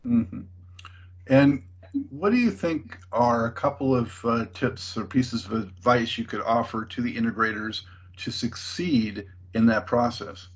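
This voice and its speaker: A man's voice: medium pitch, unhurried, breathy edge, rough recording. A man working as an interviewer, putting questions to a guest in a professional conversation.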